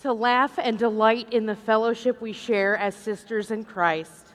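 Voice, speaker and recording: A woman's voice: on the high side, steady tone, measured pace. A woman co-hosting a podcast in front of people, with live stage banter. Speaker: podcast co-host. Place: large convention hall stage.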